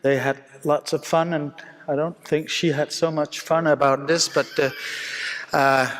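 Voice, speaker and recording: A man from Denmark with a Danish accent gives an informal conference lecture as a surgeon, speaking English as a second language with halting phrasing. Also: on the high side, level tone, fairly bright tone, a little hiss or hum. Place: conference hall, live talk. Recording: reverberant hall sound.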